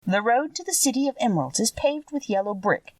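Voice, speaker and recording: A kindly little old witch from a storybook, acted out by a woman, speaks with solemn helpfulness. Her pitch lifts and swoops from phrase to phrase, heard a little way from the microphone in a dry space. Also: expressive, fairly quick, darkish tone, no echo, far from the mic. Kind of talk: audiobook character voice.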